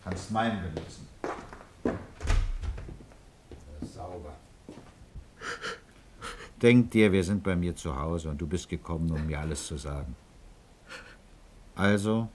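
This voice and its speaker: An actor playing a calm, fatherly middle-aged police commissioner in a German radio crime drama, patient and persuasive as he coaxes and probes. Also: low voice, unhurried, long pauses, reverberant, slight background noise. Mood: gentle, paternal.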